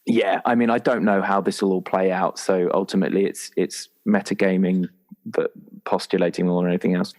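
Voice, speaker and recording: A man with a fairly low voice talks casually on a roleplaying podcast. He explains ideas for his game character in an informal, conversational way. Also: little pitch movement, brisk, a little mellow. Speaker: podcast guest, tabletop roleplayer.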